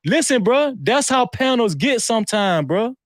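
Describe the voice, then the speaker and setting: High-voiced man arguing insistently on a call. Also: big pitch swings, normal speed, a little hiss or hum. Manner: insistent, keeps repeating "listen, bro" and talking over others; defensive. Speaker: panelist. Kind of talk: heated online panel argument.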